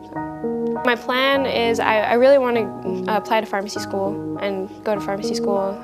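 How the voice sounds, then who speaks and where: A young adult woman giving a casual, halting interview as a college athlete, peppered with filler words. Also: a little high, level tone, loud music behind the voice. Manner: halting, heavy use of "like". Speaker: college softball player.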